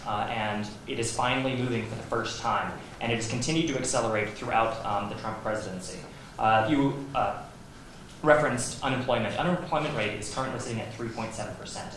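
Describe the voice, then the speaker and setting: A man's voice: mid-range voice, a bit bright. A young man arguing an economic point in a debate, earnest and full of fillers. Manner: earnest, with many fillers and restarts. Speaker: student debater. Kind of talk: debate argument. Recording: echoey hall with faint noise.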